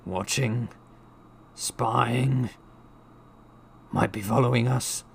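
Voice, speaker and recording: Man voicing a blustering middle-aged uncle, croaky, snapping, tense, angry, panicky, audiobook character. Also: mid-range voice, lively intonation, slow, slight background noise, voice pushed up, full character voice. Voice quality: croaking, forceful. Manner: sneering, snapping, roaring.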